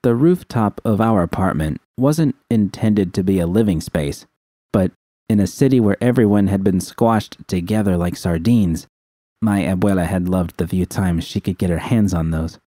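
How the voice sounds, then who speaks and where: Dark, very low-voiced man reading a story. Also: normal speed, no echo. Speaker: audiobook reader of fan fiction. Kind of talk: scripted first-person story narration.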